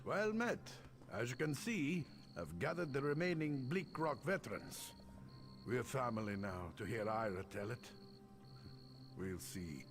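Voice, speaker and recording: An actor playing a plain-spoken soldier who became a reluctant commander, in video game dialogue. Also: male voice, medium pitch, very animated, unhurried. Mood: earnest, uncertain. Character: soldier made reluctant commander of veteran refugees. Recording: game music behind the voice.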